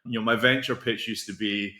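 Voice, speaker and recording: A man's voice: on the low side, steady tone, brisk, pushed and bright. A middle-aged man, a company founder being interviewed, explaining things in long, flowing runs. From Scotland. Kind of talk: casual interview storytelling. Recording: roomy echo.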